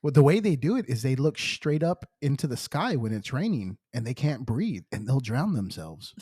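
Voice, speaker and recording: A man's voice: medium pitch, big pitch swings, normal speed, mellow, slightly rough, no echo. A man who hosts a podcast. His voice swings widely up and down as he jokes around. The sound is close and dry, with no room around it. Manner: joking, playful interviewer. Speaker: podcast host. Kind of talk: casual podcast interview.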